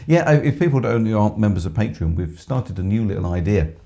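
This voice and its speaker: A middle-aged man with a hoarse voice, chatting on a live stream from a small music shop studio, reading out viewer comments with lots of ums. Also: on the low side, animated, a little fast, warm tone. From England. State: hoarse from screaming. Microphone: headset with microphone.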